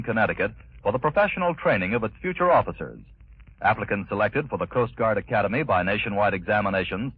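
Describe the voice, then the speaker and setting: A man's voice: medium pitch, breathy edge, no echo. A man with an American accent reading scripted announcements in the style of a 1940s radio announcer. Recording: tinny, dry 1940s radio broadcast.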